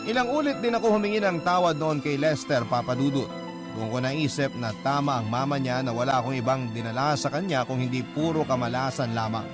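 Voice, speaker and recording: A mid-pitched man narrates a letter like a radio drama host. His storytelling is earnest and sentimental, with music clearly playing behind him.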